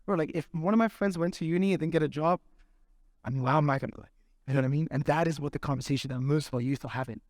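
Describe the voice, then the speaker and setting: A man's voice: a little high, very fast, dry recording, clean studio sound. A man speaking at a conference, answering audience questions in a loose, conversational way, often checking in with the room. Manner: conversational, keeps checking in with "right?".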